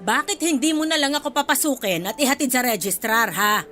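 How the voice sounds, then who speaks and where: A woman's voice: high-pitched, brisk, pushed and bright. An actress in a Filipino radio drama playing an indignant woman near sixty, a new college freshman who is combative, exasperated and demanding. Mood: angry, insistent.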